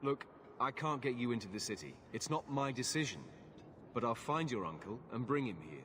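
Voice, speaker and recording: A man's voice: medium pitch, expressive, fairly quick, fairly bright tone, natural voice. A man voicing a weary guard captain who turns people away in a curt, firm voice that rises and falls a lot. There is a faint ambient hum behind him. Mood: stern. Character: weary male city guard captain, blunt. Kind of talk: video game cutscene acting.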